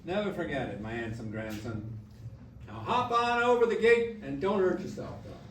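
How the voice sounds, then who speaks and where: A man's voice: on the high side, expressive, normal speed, slight background noise, lightly acted. Man playing a cheeky musical-theatre grandpa, echoey room. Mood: wry, cautious. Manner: blunt, profane, avuncular advice-giving. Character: cheeky, big-hearted grandfather, old man back from death. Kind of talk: staged musical reading, part sung, part spoken. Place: indoor staged reading before live music. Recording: echoey room, far mic, piano behind.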